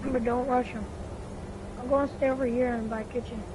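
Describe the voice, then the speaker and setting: A high-pitched female voice chats casually in the middle of gaming. She sounds like a player talking to friends in loose, everyday speech. The recording carries clear background noise. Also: steady tone, a little fast. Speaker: video game player. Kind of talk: casual chat during gaming.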